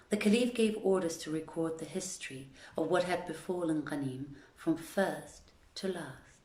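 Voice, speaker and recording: A woman's voice: on the low side, dark tone, reverberant. A woman hosting an online story reading, speaking to listeners and reading literary tales aloud. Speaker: reader of stories to an online audience.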